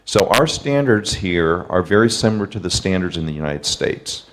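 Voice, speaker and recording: A man, a professor, answering questions in a webinar over a video call in a measured, explanatory way. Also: low-pitched, sing-song.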